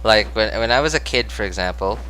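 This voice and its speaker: A man's voice: lowish voice, lively intonation, a little fast, bright, forceful tone, rough recording. A young man from the Philippines streams casually to his viewers. He rambles in a self-deprecating, apologetic way. There is light noise in the background. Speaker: game livestreamer.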